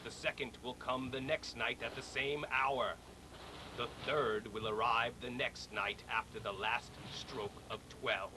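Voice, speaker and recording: A man voicing a mournful, regretful ghost in chains who warns gravely and solemnly in a storybook read-along. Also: medium pitch, steady tone, noisy background, lightly acted. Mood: solemn warning. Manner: grave, slow, wailing, solemn.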